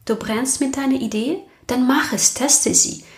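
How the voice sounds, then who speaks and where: A woman's voice: sits high, unhurried. A young woman with a slightly raised voice speaks German with a non-native accent. She hosts her own podcast, reflecting earnestly and turning to her listeners with direct questions. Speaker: podcast host. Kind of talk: personal reflective podcast monologue.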